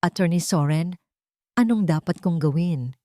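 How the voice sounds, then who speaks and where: A woman's voice: on the low side, normal speed, dark tone, no echo, clean studio sound, close to an everyday voice. A woman voicing a betrayed, determined wife and mother, troubled but firm, in a narrated drama story. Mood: troubled, pleading.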